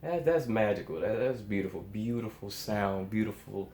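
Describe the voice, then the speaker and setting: A man's voice: on the low side, normal speed, darkish tone, reverberant. A man giving casual, awestruck reaction commentary as a host, emphatic and often repeating phrases for effect. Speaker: host of a music reaction show.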